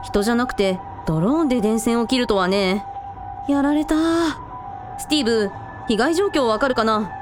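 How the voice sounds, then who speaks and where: A caring female shrine priest played by a woman takes charge in a radio drama. Her high voice is brisk and concerned, over clear background music. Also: lightly acted.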